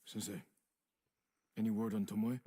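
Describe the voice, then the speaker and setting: A man playing a calm, low-voiced young samurai. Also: close to an everyday voice. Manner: measured, reserved, respectful. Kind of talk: video game cutscene acting. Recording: game audio with loud music behind.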